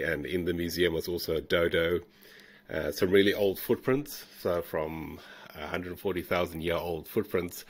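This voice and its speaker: Deep-voiced South African man, relaxed. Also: breathy edge. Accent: South African, blended with New Zealand. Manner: relaxed, rambling with fillers and restarts. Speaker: guest, fossil hunter. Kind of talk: casual storytelling interview. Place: home room. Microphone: video call.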